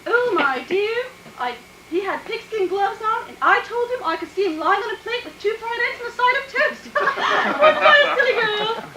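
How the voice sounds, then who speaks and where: Very shrill fluttery society matron. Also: female voice, animated, moderate pace, bright, forceful tone, far from the mic, exaggerated voice. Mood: flustered, gushing. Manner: affected, gushing, giggly. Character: fluttery giggling high-society matron fortune-teller. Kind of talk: 1940s radio comedy acting. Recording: echoey stage from afar, faint noise.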